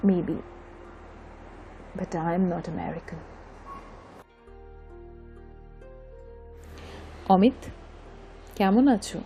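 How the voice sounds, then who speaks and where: A woman's voice: on the low side, expressive, fast talker, warm tone, lo-fi sound, faint music behind. A woman with an Indian Bengali accent playing a wistful, subdued young mother in an audio drama, giving short, hesitant replies. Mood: wry, wistful. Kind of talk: bilingual audio drama dialogue.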